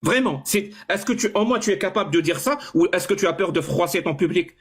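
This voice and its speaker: A man's voice: sits high, lively intonation, quick pace, slightly rough. A man arguing in a heated online debate, insistent and pressing, often stumbling over and repeating words as he pushes his point. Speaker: debate participant.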